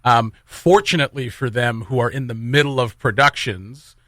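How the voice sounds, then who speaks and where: Bright-voiced man hosting morning radio. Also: mid-range voice, moderate pace, dry recording. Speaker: radio morning show host. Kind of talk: casual radio chat and interview. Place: radio studio.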